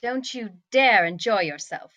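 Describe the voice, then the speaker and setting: A woman's voice: on the high side, animated, unhurried, a bit bright, dry recording. A woman playing a haughty, bossy, petulant young princess in a comedic script read, with an imperious, commanding manner.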